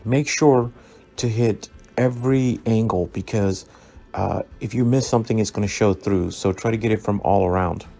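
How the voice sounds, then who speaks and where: A man narrating a scale model build as a relaxed tutorial, easygoing and full of ums and uhs as he recommends each step. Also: lowish voice, normal speed, creaky. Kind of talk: relaxed instructional voice-over. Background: soft background music.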